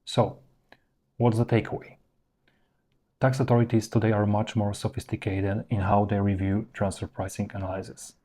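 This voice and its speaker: A man presenting an instructional explainer in a measured, structured way, stressing his key points. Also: on the low side, little pitch movement, dark tone. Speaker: presenter of professional explainer videos. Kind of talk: instructional explainer, semi-scripted narration to viewers.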